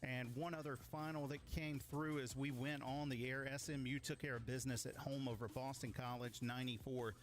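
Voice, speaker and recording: A man hosting a radio sports studio update, giving a brisk rundown of scores. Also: mid-range voice, fairly even intonation, faint music behind. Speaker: studio host.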